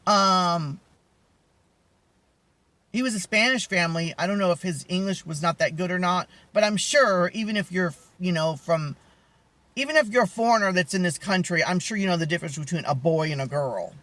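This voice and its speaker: A young man with a high voice, telling personal stories in a rambling, candid way, often losing his thread. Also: expressive, moderate pace, pushed and bright, no room sound. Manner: rambling, candid, lots of restarts and asides. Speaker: vlogger. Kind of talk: casual storytelling to camera.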